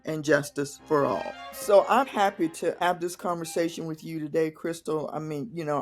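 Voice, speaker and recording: A woman hosting a podcast, opening with a measured scripted intro and then asking earnest questions with a few restarts. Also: low voice, darkish tone. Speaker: podcast host.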